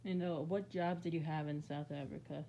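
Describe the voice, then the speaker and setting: Muffled, mellow-voiced casual interviewer. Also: mid-range voice, steady tone, creaky, a little hiss or hum. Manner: casual, halting questions with fillers.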